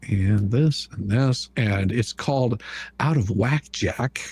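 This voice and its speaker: Husky guy hosting a stream, jokey n excitable, says stuff twice, super casual. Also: lowish voice, slow pace. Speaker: livestream host. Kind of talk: casual livestream chat.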